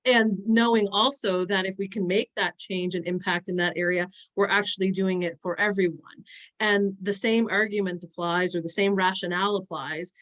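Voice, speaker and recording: A woman speaking as a panelist in an online discussion, earnest and halting, with frequent fillers and restarts. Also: mid-range voice, steady tone, fairly bright tone.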